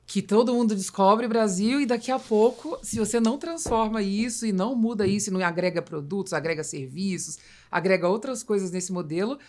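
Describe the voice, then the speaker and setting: A woman's voice: medium pitch, fairly quick, hardly any pauses, darkish tone. A woman with a Brazilian accent hosting a casual business conversation, informal and ready with her own opinions. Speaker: podcast host.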